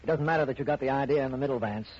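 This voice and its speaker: An actor playing a polite, businesslike district attorney, measured and courteous, in 1940s radio acting. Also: male voice, mid-range voice, a little mellow, slightly rough, close to an everyday voice. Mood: calm. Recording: muffled old radio broadcast.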